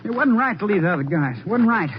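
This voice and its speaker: A young, anxious army deserter in a vintage radio play. His voice is high and swings widely, plaintive and stubborn at once. The recording is dull with a faint hiss. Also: lively intonation, fast talker. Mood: guilty, regretful. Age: young adult. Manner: hesitant, plaintive, colloquial. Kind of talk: old-time radio drama acting.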